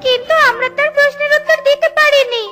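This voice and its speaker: A little cloud princess trapped in a doll performed by a woman for a cartoon. The voice is squeakily, extremely high and childlike, with a pleading manner. Music plays behind it. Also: fairly even intonation, fast, sharp tone. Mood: recounting.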